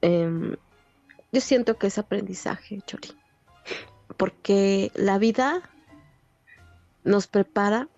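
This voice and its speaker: A woman's voice: medium pitch, dark tone, lo-fi sound. A Mexican woman in her forties telling her personal story as a guest in a casual interview. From State of Mexico, Mexico. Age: 40s. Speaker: interview guest, online creator.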